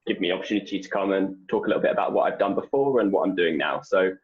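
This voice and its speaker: A young man from England with an English accent, answering interview questions in a relaxed, rambling way with lots of fillers. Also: low-pitched, very fast, dull audio. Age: young adult. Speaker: postdoc researcher guest.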